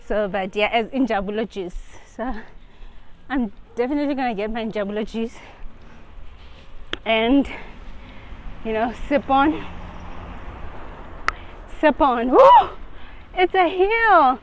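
A woman's voice: fairly high pitch, expressive, a little hiss or hum. Breathless young woman vlogging on a walk. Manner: chatty, slow, laughing, out of breath from walking uphill. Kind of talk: casual walking vlog. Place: suburban street outdoors. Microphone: earphone mic, phone at arm's length.

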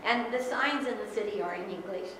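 A woman's voice: mid-range voice, brisk, noisy background. Chatty middle-aged woman in echoey church. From Philippines. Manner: chatty, laughs often, self-correcting. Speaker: guest speaker. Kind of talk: informal Sunday school talk. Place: large church sanctuary. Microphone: far camera. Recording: echoey church hall from far off.

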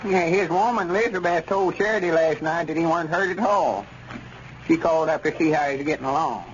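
An actor playing a chatty, neighborly old grandpappy with a rural Southern accent, in old radio comedy style. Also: male voice, fairly high pitch, a little fast, natural voice. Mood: gossipy. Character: high-voiced, chatty old country grandpappy, neighborly and nosy. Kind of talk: 1940s radio comedy acting. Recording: dull, noisy old radio recording.

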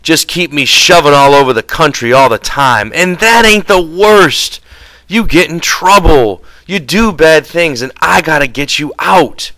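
A man's voice: on the low side, expressive, moderate pace, hardly any pauses, a bit bright, clear, resonant tone, dry recording, low-quality recording. A man voicing an angry, exasperated, bullying drifter with folksy, ungrammatical speech in an audiobook. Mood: furious. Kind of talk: audiobook character dialogue.

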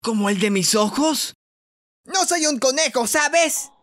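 A man's voice: very high-pitched, animated, measured pace, fairly bright tone, heavily acted. A man with a Latin American accent voicing a brave, passionate, stubborn teenage boy hero in an anime fan dub. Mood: casual, eager.

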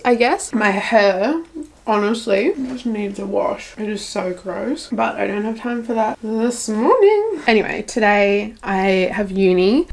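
A young woman in her twenties with an Australian accent, chatting casually to a handheld camera at home, relaxed and rambling with lots of fillers. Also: mid-range voice. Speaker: vlogger. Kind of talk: casual vlog chat to camera. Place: small home room with plants, indoors. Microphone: camera at arm's length.

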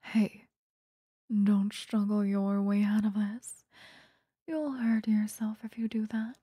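A woman voicing an obsessive, sweetly menacing vampire lover in a breathy, whispered ASMR roleplay, close to the microphone. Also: mid-range voice, flat delivery, mellow, no room sound. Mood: softly threatening. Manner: slow, intimate, coaxing, threatening. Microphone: close microphone, dry.

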